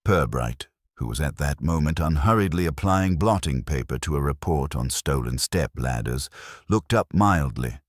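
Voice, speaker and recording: A man narrating a scripted mystery story in a dry, droll manner with deliberate phrasing. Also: rumbling low, expressive, no echo.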